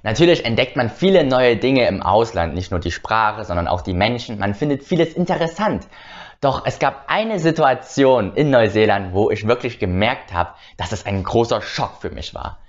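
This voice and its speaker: A man's voice: medium pitch, lively intonation, normal speed. Young German man telling a travel story. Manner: hesitant, many "äh" fillers and restarts. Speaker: channel host. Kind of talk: casual personal storytelling. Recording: slightly dull lo-fi sound.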